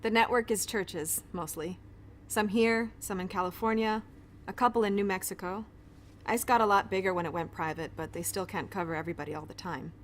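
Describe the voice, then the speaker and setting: A woman's voice: medium pitch, fairly quick, slight background noise, natural voice. An actress playing a friendly, awkward woman pediatrician in an audio drama, apologetic and often interrupting herself. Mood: explaining eagerly. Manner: chatty, awkward, self-interrupting. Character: friendly, flustered, apologetic woman pediatrician who shelters fugitives. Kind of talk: naturalistic audio drama dialogue.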